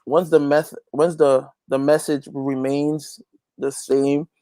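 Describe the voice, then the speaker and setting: A man's voice: a little high, flat delivery, slow pace, warm tone, dry recording. A man talking casually as an interview guest, preaching a little and repeating phrases for emphasis. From Guyana. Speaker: interview guest, gospel reggae artist and youth pastor.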